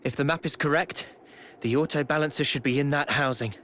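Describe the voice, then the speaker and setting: A man's voice: a little high, brisk, a bit bright, slight background noise, close to an everyday voice. A man playing a thoughtful, cautious young leader in a video game. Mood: watchful. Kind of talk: video game cutscene acting.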